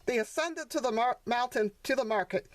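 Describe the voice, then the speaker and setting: High-voiced woman narrating a storybook. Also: a little fast, a little hiss or hum. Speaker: author reading her children's book.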